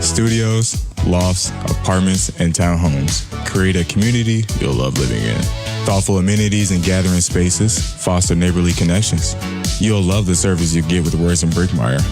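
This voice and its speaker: A man with a deep, low voice narrates a scripted radio commercial. His promotional read is polished and measured, with guitar music clearly playing underneath. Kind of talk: scripted real estate ad read. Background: guitar music bed.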